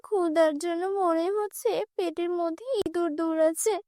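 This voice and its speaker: A gentle, kind young cartoon heroine, voiced by a woman with a very high, bright voice. She speaks softly and earnestly in a dry studio recording. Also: steady tone, moderate pace, dry recording. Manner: soft, earnest. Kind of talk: cartoon dubbing.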